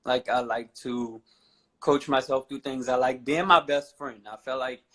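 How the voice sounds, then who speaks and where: A young man in his twenties speaks at an ordinary mid pitch, casual and unhurried. His talk wanders and leans on fillers like "you know" as he answers questions on a talk show. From New Orleans, USA. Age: 20s. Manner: relaxed, rambling, many "I feel like" and "you know". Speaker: guest, fashion model.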